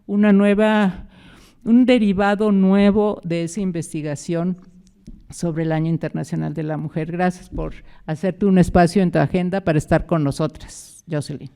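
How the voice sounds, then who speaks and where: A woman's voice: on the low side, steady tone, normal speed, dark tone, clear, resonant tone. A woman introducing a guest lecturer in an easy, conversational way with some hesitations. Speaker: moderator. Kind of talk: formal introduction of a speaker.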